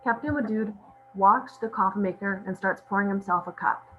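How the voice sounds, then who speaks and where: A woman's voice: mid-range voice, a little fast, soft background music. A woman reading out stage directions as scripted narration at a table read. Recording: muffled audio with clear hum.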